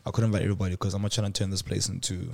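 A man's voice: sits low, little pitch movement, very fast, creaky. A man, hosting a casual podcast chat in a loose, joking way and laughing often. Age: 20s. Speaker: podcast host.

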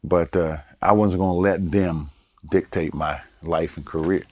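Older guest from Baltimore, deep voice, easygoing anecdotes, dry recording. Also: male voice, a little slow, no room sound. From Baltimore, Maryland. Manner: relaxed storyteller, chuckles, repeats words for emphasis. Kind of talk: casual storytelling interview.

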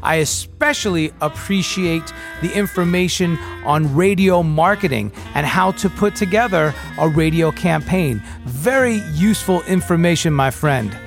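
A man's voice: a little high, expressive, measured pace, creaky, few pauses. A man hosting a podcast, closing the episode and reading a promo with a rolling, sing-song delivery. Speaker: podcast host. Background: faint music bed.

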